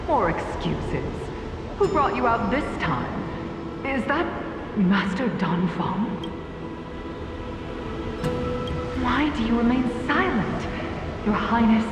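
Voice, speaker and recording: Echoing old scholarly alchemist. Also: female voice, a little high, lively intonation, measured pace. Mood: stern, scolding. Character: echo of an old, learned, obsessive woman alchemist. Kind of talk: dramatic video game voice acting. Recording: distant, long cavernous reverb, music behind.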